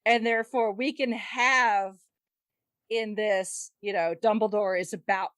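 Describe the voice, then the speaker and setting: A woman's voice: medium pitch, fairly even intonation, unhurried, fairly bright tone, clear, resonant tone, no room sound, studio quality. A woman co-hosting a podcast, chatting casually and animatedly about a film. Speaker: podcast co-host. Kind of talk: casual film discussion chat.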